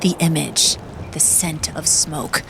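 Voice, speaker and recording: A woman reading scripted audiobook narration in a solemn, measured way. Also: sits low, creaky, background noise.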